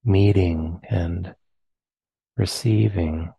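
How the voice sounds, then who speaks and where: A man with an American accent, leading a guided meditation in slow, soft phrases with long pauses. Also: low-pitched, steady tone, vocal fry, lo-fi sound, a little hiss or hum. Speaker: meditation teacher.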